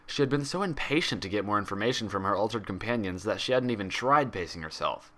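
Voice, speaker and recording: A man's voice: on the low side, animated, fast talker, fairly bright tone. A man reading fan fiction aloud as a narrator, in a dramatic scripted storytelling style.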